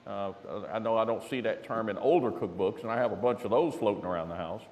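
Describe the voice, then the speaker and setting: A man moderating a live audience Q&A, formal but unscripted, with a deliberate, halting, wordy delivery. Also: lowish voice, darkish tone. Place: large hall with an audience. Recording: echoey hall with faint background noise.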